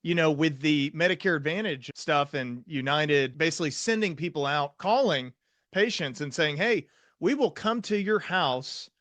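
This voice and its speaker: A man's voice: on the high side, expressive, moderate pace, a bit bright, no echo. A young man hosting an interview as a psychiatrist, telling stories and voicing his own past thoughts. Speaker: psychiatrist host. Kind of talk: casual interview talk.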